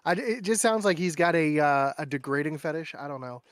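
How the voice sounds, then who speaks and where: A fairly high-voiced man tells workplace stories on a podcast, full of ums and uhs, now and then jumping up in pitch to imitate someone. His voice sits close and dry, with no room sound around it. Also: expressive, brisk, no room sound. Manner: chatty storyteller who mimics people in raised voices. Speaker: guest. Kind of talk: casual podcast chat.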